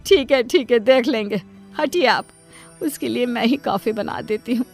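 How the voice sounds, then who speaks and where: A doting, self-sacrificing cartoon mother, put on by a woman, who wails and pleads in a high, bright voice. She keeps excusing her spoiled daughter, with soft music faintly behind her. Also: moderate pace, creaky. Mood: indulgent. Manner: wailing, pleading, indulgent toward her daughter. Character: aching tearful middle-aged mother, self-sacrificing. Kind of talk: cartoon storybook voice acting.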